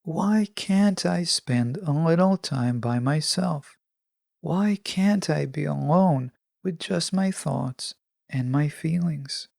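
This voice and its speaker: A woman hosting a solo self-help podcast, speaking in a calm, measured and instructive way with pauses. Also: low-pitched, vocal fry, no echo, studio quality. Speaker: podcast host.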